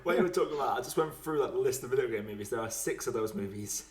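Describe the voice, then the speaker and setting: A man's voice: mid-range voice, rapid-fire. A man hosting a casual film podcast, talking in a blokey, slangy way. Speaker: podcast host. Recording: echoey, roomy sound.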